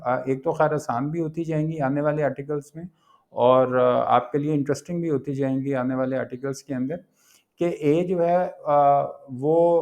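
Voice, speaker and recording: Slow-paced Pakistani man lecturing, higher-pitched voice. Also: darkish tone. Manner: explanatory, reading English phrases then explaining them step by step. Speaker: law lecturer. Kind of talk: exam-prep lecture explaining legal illustrations.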